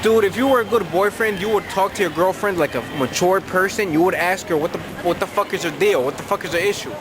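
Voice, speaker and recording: A man's voice: high-pitched, quick pace, music in the background. A young man giving blunt, profane advice on a vlog, reading out viewer letters while tipsy. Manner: brash, profane, slangy. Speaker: advice vlogger. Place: outdoors in a city at night.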